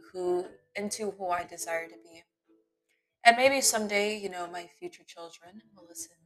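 Candid rambling woman podcaster, lowish voice. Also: a bit bright, poor audio. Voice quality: voice shifts between smooth and raspy. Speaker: podcast host. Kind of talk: unscripted solo podcast monologue. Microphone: computer mic in web browser.

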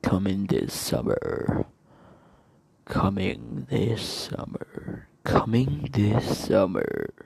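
A man putting on the voice of a dramatic movie trailer announcer, with booming, drawn-out delivery. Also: huge pitch swings, gravelly, darkish tone, slightly rough, exaggerated voice.

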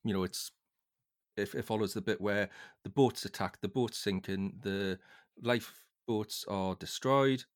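A man's voice: on the low side, a little slow. A man speaking as a podcast guest, casually discussing a book in an informal, explanatory way.

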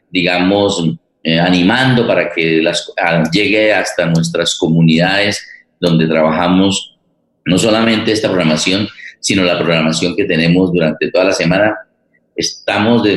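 An older man with a Colombian accent hosting an unscripted event, haltingly searching for his words. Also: low voice, fairly bright tone. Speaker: host and theatre director. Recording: roomy, echoing voice pickup.

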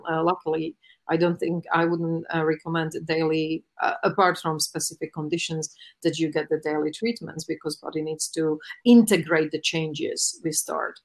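Earnest woman massage therapist, lowish voice. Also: level tone, moderate pace. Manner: earnest, many fillers and restarts. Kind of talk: conversational interview answers.

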